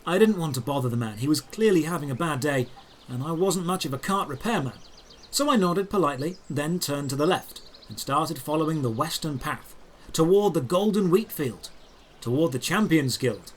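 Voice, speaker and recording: A man narrating a first-person fantasy audio drama in a deliberate, descriptive way with dramatic pauses. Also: fairly high pitch, animated. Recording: clear background noise with birdsong. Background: birdsong and outdoor ambience effects.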